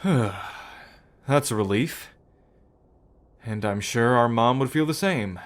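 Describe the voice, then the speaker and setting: Slow, heartfelt remorseful brother acted by a man. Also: mid-range voice, expressive. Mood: grateful, relieved. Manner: hesitant, sighing, heartfelt. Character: remorseful, affectionate brother on the run. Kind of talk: ASMR roleplay acting.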